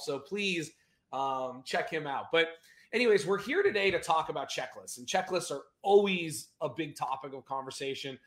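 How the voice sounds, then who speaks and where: Upbeat male host, slightly higher voice, casual and energetic, roomy echo. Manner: upbeat, chatty, energetic opener. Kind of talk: casual video interview.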